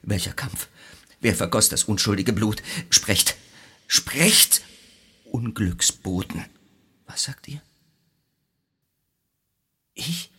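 An actor playing an anguished Greek hero in a theatrical radio drama, husky and gravelly, halting and declamatory between whispers and cries. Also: male voice, on the low side, lively intonation, sharp tone, heavily acted. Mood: horrified.

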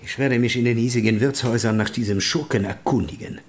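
An actor playing a hot-blooded, shrewd French count in an audio drama, formal and given to French words. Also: male voice, mid-range voice, quick pace, close to an everyday voice. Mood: grave, analytical.

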